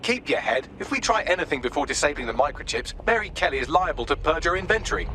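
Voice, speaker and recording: Casting: a witty game AI companion, quick and formal, deadpan humour, noise behind the voice. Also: male voice, a little high, full character voice. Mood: cautioning. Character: dry, witty artificial intelligence assistant. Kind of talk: video game drama.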